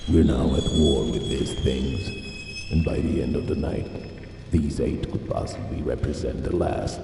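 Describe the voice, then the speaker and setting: Very deep, gravelly military villain. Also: male voice, measured pace, heavily acted. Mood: smug, threatening. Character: menacing, taunting military major, a hardline adult man. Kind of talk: cinematic sci-fi drama acting. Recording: roomy, distant, long reverb, faint noise.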